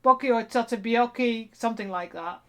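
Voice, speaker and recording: Woman in her forties, rambling cosplay streamer voice a bit on the high side. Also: brisk. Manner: rambling, self-interrupting, laughs at herself. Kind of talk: casual live-stream chat while sewing.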